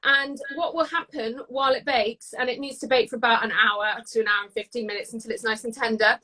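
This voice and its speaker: A British woman giving a casual live cooking demonstration, chatty and descriptive, often pausing mid-phrase as she explains. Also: a little high, steady tone, sharp tone, rough recording. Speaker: guest cook demonstrating a recipe.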